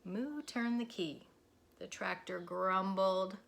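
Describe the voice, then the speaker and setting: A woman narrating a children's storybook aloud in a deliberate, expressive school read-aloud style. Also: mid-range voice, vocal fry. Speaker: elementary school teacher reading aloud.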